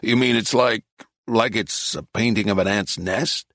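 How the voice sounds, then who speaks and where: A man's voice: lowish voice, a little slow, a bit bright, no room sound, studio quality. A man voicing an amiable, literal-minded American male tourist in an audiobook, questioning and puzzled. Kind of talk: acted audiobook dialogue.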